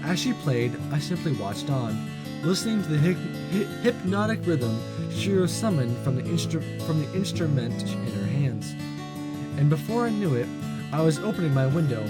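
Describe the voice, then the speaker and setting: A man's voice: a little high, sing-song, normal speed. A man narrating a visual novel aloud in a casual let's-play style, with asides. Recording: music behind the voice.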